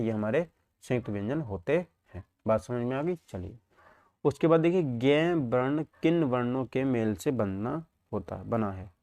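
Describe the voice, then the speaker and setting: A man's voice: medium pitch, warm tone, dry recording. A man teaching an exam lesson, posing questions and answering them himself, often checking that students follow.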